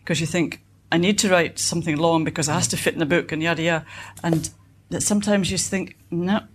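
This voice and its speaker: A woman's voice: fairly low pitch, steady tone, fast, slight background noise. A woman in her fifties with a Scottish accent, an author answering questions conversationally in a reflective, wry way. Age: 50s. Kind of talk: conversational answers at a book event.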